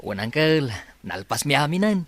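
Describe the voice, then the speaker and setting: An actor playing a cheerful, polite young farm worker in an Ilocano radio drama, with an Ilocano accent. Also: male voice, on the high side, sing-song, normal speed. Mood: polite, upbeat. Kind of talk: Filipino radio drama acting.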